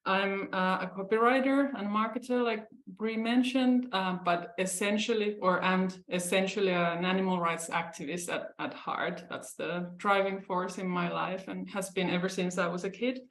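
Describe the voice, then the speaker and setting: A woman's voice: mid-range voice, steady tone, moderate pace, hardly any pauses, fairly bright tone, echoey room. A woman from Finland co-hosting a casual chat, talking hesitantly with many fillers. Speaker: podcast co-host.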